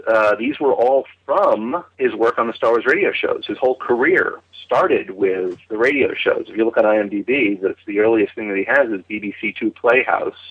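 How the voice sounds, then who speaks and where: A man with a fairly deep voice talks as a guest on a call. He explains in long, earnest, emphatic runs. The line sounds dull and narrow, like a telephone. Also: normal speed, hardly any pauses, husky. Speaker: podcast guest, radio drama enthusiast. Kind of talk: conversational interview, explaining at length.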